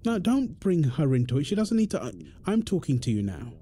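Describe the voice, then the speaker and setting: A man's voice: fairly high pitch, sing-song, fairly quick, dark tone, a little hiss or hum. A British man playing an exasperated, defensive husband in an audio roleplay, arguing in an insistent, repetitive way. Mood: exasperated, argumentative. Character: exasperated, defensive husband and father quarrelling indignantly. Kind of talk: dramatic one-sided argument roleplay.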